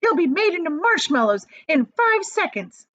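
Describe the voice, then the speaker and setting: A hysterical, bossy mother from a children's storybook. She shrieks high and indignant, with wild swoops. The recording is slightly dull. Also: big pitch swings, normal speed, a bit bright, heavily acted. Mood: outraged.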